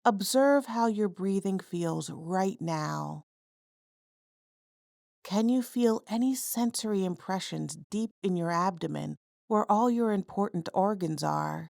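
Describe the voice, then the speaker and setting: A woman guiding a body scan meditation in a calm, measured, instructional way. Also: mid-range voice, gravelly, dry recording, clean studio sound. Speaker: mindfulness guide.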